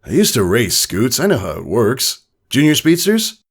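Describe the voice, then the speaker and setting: A man voices a fatherly pegasus stallion from a storybook. He sounds soft, easygoing and gently teasing, lifted in pitch with a slightly creaky grain. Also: huge pitch swings, moderate pace, full character voice.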